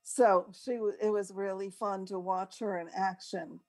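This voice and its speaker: A woman's voice: medium pitch, fairly even intonation, unhurried, darkish tone. An older woman co-hosting a radio show, chatting and telling stories casually in a rambling, easily sidetracked way. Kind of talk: casual chat and storytelling.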